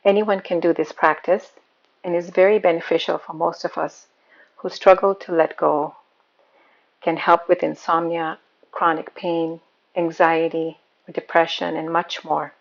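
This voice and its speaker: Woman, meditation guide, lowish pitch, calm and unhurried, soothing, gravelly fry, slightly muffled lo-fi recording. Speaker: yoga nidra meditation guide.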